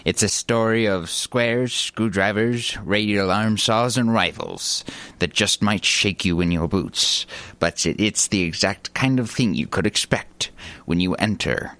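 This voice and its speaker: A man's voice: on the low side, measured pace, pushed and bright, no room sound. A man playing a dramatic, jokey storyteller narrating a mock-epic western comedy for radio. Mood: mock-grand.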